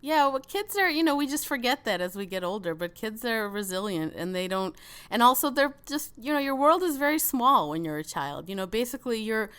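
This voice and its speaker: A woman's voice: on the high side, sing-song, brisk, fairly bright tone, clear, resonant tone. A woman with a mild Chicago accent, born in the Soviet Union, talking as an interview guest in a loose, chatty way full of fillers and restarts. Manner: chatty, many fillers and restarts, laughs between thoughts. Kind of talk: casual interview conversation.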